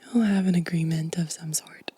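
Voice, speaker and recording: Woman, whispered ASMR, breathy, very relaxed and dark tone, gentle, unhurried show and tell. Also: on the low side. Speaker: ASMR video host.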